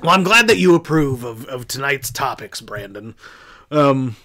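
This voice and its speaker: A man's voice: medium pitch, lively intonation, normal speed, pushed and bright. A man from southeastern Indiana with an American accent hosts a podcast, telling stories casually in a rambling way and often backtracking as he goes. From southeastern Indiana, United States. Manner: rambling, backtracking, loses his thread. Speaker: podcast host. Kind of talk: casual storytelling on a horror podcast.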